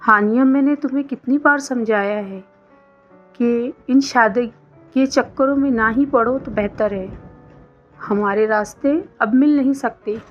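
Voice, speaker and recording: A woman acts out a young college boyfriend, smooth-talking and evasive. He is insistent, though pitched high. It is a storybook romance with a slightly dull sound and music underneath. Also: unhurried, close to an everyday voice. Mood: angry. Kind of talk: scripted story dialogue.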